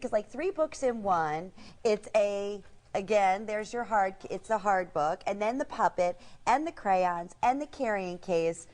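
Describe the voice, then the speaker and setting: A woman's voice: medium pitch, a little mellow. Gushing woman hosting a shopping show. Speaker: shopping channel host. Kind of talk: casual product presentation.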